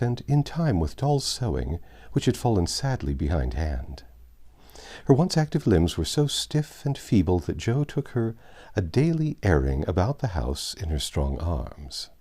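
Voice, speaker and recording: Male narrator for a classic novel: very low, lively intonation, soft-edged mellow tone, measured storytelling. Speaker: audiobook narrator. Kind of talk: reading a classic novel aloud.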